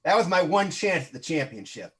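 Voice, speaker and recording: Guy doing a losing football coach, high voice, earnest and insistent, improv comedy. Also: sing-song, fairly quick. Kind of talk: improvised game show parody.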